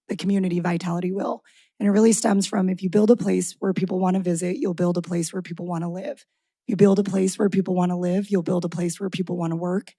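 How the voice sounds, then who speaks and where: A woman with a raspy voice presenting a tourism organization's plans to a city council from a podium microphone. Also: fairly low pitch, mellow, no echo. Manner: brisk presenting with occasional restarts. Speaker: tourism organization executive director. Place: council chamber.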